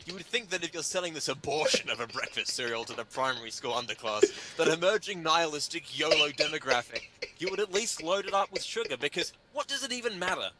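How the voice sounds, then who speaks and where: A man's voice: on the high side, expressive, fast talker, heavy background noise. A man giving a dramatic comedic reading of an article, with an emphatic, mock-indignant, sardonic delivery. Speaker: reader performing a humor article.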